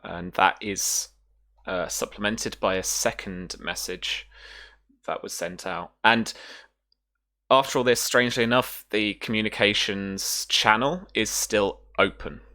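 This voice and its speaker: A game master narrating a sci-fi tabletop roleplaying game in short, hesitant phrases with deliberate pauses. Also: sits low, steady tone, pushed and bright.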